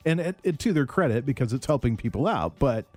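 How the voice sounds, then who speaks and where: A man's voice: medium pitch, animated, fast talker, darkish tone, faint music behind. A man co-hosting a sports radio show, speaking candidly and conversationally as he gives his views and takes calls. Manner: candid, conversational, laughs easily. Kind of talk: casual sports talk radio. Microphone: studio microphone.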